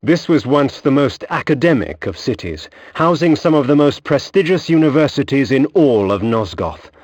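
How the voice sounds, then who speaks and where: An actor playing a proud, disdainful undead nobleman who narrates a video game in bitter, eloquent, measured phrases. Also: medium pitch, very animated, a little mellow, lo-fi sound. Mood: brooding, disdainful. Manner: haughty, measured, grandiloquent.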